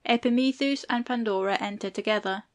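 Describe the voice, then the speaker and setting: A woman's voice: a little high, fairly quick. A woman reading stage directions as the narrator of an audiobook play.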